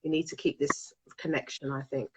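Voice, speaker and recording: A woman's voice: low voice, flat delivery, a little fast, background noise. A British woman with a nasal, congested voice from a cold, hosting a live online chat show in an effusive way, often repeating her phrases. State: has a cold. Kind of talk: casual live chat interview. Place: live video call.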